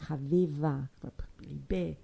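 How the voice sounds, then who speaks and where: Older lady, low gravelly voice, rambling memories with lots of stops and restarts. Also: fairly even intonation, dark tone. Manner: halting, digressive storytelling with many restarts. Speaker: interviewee recounting childhood. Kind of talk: spoken memoir, oral history.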